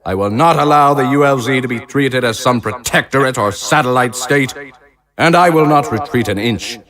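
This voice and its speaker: A man's voice: mid-range voice, moderate pace, lightly acted. Male actor as militaristic consul, audio drama, rhetorical, stately, proud, defiant, crowd noise behind. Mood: defiant, resolute. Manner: declamatory, rhetorical, stately emphasis. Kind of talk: theatrical political oratory.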